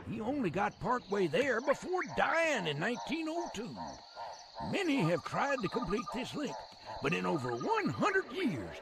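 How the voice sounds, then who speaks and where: Squeaky, creaky folksy American old-timer. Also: male voice, heavily acted. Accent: rural American. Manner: folksy, exuberant, colloquial. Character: folksy excitable old-timer railroad museum guide. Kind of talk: theatrical video game narration.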